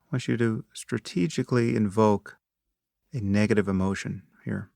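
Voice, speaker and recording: A man with a fairly low voice and a noticeable creak leads a guided meditation. He gives measured instructions in short phrases, leaving long pauses between them. The sound is dry and close, with little room around it. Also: steady tone, darkish tone, no room sound.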